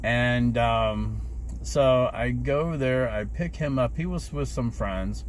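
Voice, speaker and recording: A man's voice: medium pitch, takes it slowly. A man of fifty telling a rambling story to his viewers, with plenty of fillers, and acting out the voices of the people he quotes. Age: 50s. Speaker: rideshare driver vlogger. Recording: noisy street and traffic background.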